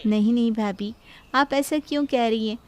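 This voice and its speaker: A woman's voice: fairly high pitch, moderate pace, crowd noise, natural voice. A woman playing a humble, conciliatory young daughter-in-law in a family moral story. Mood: placating. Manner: placating, deferential.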